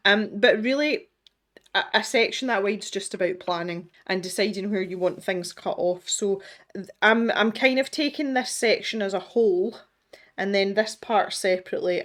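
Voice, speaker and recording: A woman host, congested from a cold, chatting casually while she colours. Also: mid-range voice. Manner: chatty, self-deprecating, thinks aloud. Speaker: art channel host. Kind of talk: casual colouring tutorial chat.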